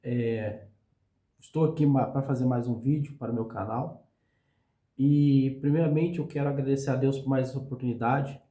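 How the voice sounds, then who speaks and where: A male pastor preaching a sermon for his online channel, hoarse from a cold, in an earnest and unhurried way. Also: mid-range voice, fairly even intonation, mellow, reverberant. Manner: earnest, slow preaching with frequent "meus irmãos".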